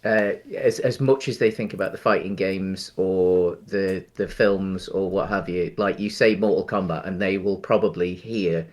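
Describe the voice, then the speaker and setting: A man with a fairly low voice, co-hosting a casual film podcast. He explains in a roundabout way, qualifying his points as he goes. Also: fairly even intonation, normal speed, hardly any pauses, darkish tone. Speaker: podcast co-host.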